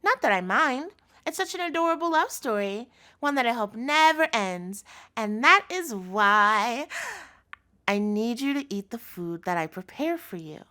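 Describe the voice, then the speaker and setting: A woman's voice: a little high, expressive, a little slow, sharp tone. A woman playing an obsessive chef girlfriend in a scripted audio roleplay, sugary and coaxing with a threatening edge, speaking intimately to the listener. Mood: cold, menacing. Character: obsessive yandere chef girlfriend, sweet but menacing.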